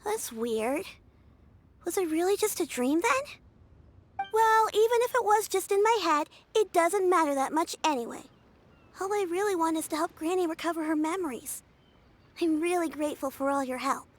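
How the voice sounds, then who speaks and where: A woman's voice: very high voice, expressive, moderate pace. A woman voicing a cheerful, earnest young girl in a game, bubbly and chatty. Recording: game audio with faint music.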